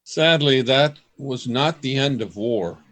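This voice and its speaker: A man's voice: mid-range voice, slow pace, a bit bright. An actor playing a man from the present, speaking plainly about history in an amateur radio play. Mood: sober. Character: man from the present, sober and knowing.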